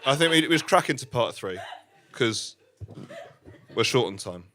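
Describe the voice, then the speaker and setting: A man performing live musical stand-up on stage, talking in an awkward, understated, halting way. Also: mid-range voice, lively intonation, very noisy. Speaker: musical comedian with keyboard. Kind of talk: live stand-up with spoken comic songs. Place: live stage before an audience. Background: keyboard music.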